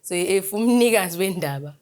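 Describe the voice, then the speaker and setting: A woman's voice: mid-range voice, expressive, brisk, darkish tone. A young South African woman, a gospel singer, answers interview questions earnestly and switches between Zulu and English mid-sentence. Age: young adult. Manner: earnest, grateful, talks about faith.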